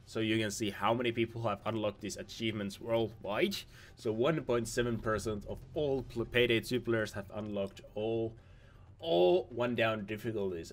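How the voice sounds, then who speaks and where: Slightly hoarse young man rambling over gameplay. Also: on the low side. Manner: rambling, repeats "obviously" and "nothing special", restarts words. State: slightly hoarse, losing his voice. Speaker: gaming video host. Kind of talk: casual gameplay commentary over screen footage.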